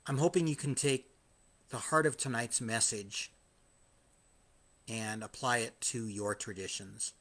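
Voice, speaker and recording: A man hosting his own live podcast, a little hoarse after hours of singing, talking in a chatty, self-deprecating way with frequent restarts. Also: mid-range voice, steady tone, a little slow, long pauses, a bit bright. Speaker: podcast host. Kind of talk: live-streamed casual monologue.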